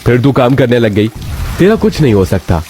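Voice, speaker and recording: A man voicing a kindly, soft-hearted middle-aged noodle vendor and father in a story, friendly and fatherly. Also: medium pitch, very animated, moderate pace, slight background noise, lightly acted. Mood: fond.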